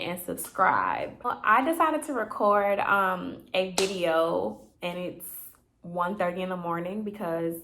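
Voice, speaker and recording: A young woman from Chicago talks casually into her camera late at night, her voice slightly raspy. She rambles and explains with lots of "um" and "like", friendly and unhurried. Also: mid-range voice, a bit bright. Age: 20s. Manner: chatty, filler-heavy, explanatory. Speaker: vlogger, therapy grad student.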